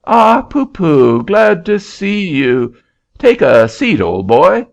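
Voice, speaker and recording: A man's voice: sits high, sing-song, takes it slowly, gravelly, pitched above the performer's own voice, exaggerated voice. A man voicing a dismissive male auctioneer who speaks bluntly and curtly. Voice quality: blunt, curt. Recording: dull lo-fi recording.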